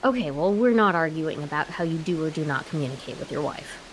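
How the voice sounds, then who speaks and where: A woman's voice: deep voice, animated, a little fast, slight background noise. A young woman playing a strong-willed, frustrated grown daughter in a naturalistic comedic radio play, emphatic and argumentative. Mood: defensive, wry.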